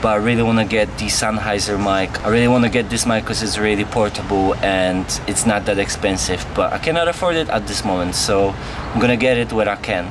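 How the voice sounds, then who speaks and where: A man's voice: lowish voice, brisk, background noise. A young man from Poland with a Polish accent, casually answering viewer questions in a vlog. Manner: plain, earnest, answers viewer questions one by one. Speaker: vlogger. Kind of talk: casual Q&A to camera. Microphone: on-camera mic.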